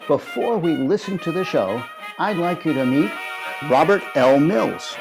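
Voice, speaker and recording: A man's voice: on the high side, animated, measured pace, over music. A man hosting a conversational interview as the interviewer.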